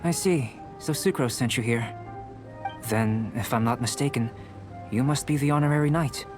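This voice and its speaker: A man playing a calm, detached young scholar and alchemist in a video game, speaking in a measured, formal, analytical way. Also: medium pitch, over music, lightly acted. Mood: intrigued.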